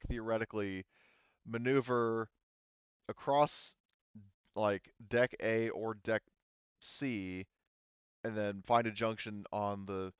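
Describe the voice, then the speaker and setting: Male, game master, smooth voice, halting casual narration, dry slightly dull recording. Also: fairly low pitch, a bit bright, no echo. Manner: halting, informal explaining with frequent "like".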